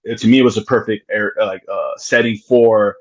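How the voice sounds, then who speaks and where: A man's voice: on the low side, steady tone, brisk, muffled recording. A man talking casually in a podcast conversation about his music, rambling with many restarts and curse words. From United States. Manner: rambling, frequent restarts and swearing. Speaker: musician guest.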